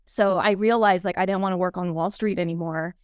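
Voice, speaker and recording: A woman's voice: on the low side, steady tone, fairly quick, a little mellow, clear, resonant tone, no room sound, clean studio sound. A woman in her thirties from Canada, a guest telling her story in a casual interview, chatty with many fillers. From Canada (Vancouver). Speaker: guest, entrepreneur.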